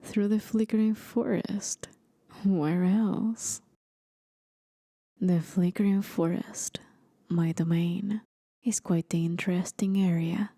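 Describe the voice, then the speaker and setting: ASMR roleplay demon guide, female, acted by a woman: playful and coaxing, soft breathy half-whisper, slightly low pitch, very close and dry. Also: fairly even intonation, slow, pauses often, no room sound. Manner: teasing, coaxing, gently theatrical. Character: playful, courteous red-skinned horned demon woman, forest guide. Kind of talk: ASMR roleplay, scripted acting.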